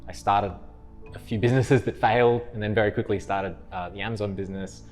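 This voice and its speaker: A young Australian man, a guest in a casual interview, talking in a relaxed, rambling way with restarts. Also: on the low side, fast talker, faint music behind.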